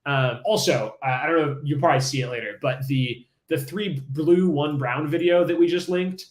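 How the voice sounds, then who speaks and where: A man's voice: on the high side, normal speed, echoey room. A man teaching a live-streamed coding lesson, casual and enthusiastic, with many fillers and restarts. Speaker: livestream coding instructor.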